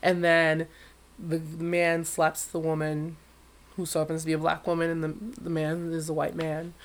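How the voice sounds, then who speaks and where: A man who sings professionally, talking as an interview guest with a high voice and an animated, storytelling manner. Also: normal speed. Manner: animated storyteller, acts out quotes with big leaps in pitch. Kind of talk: conversational interview.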